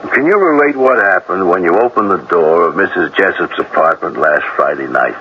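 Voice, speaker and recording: An actor playing a polite, probing police sergeant in a 1970s radio drama, with an official, methodical manner. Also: male voice, sits low, expressive, moderate pace. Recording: muffled old radio recording, faint noise.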